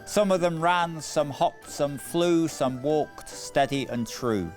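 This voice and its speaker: A man narrating a scripted children's story in a measured, deliberate way with dramatic phrasing. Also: fairly high pitch. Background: music.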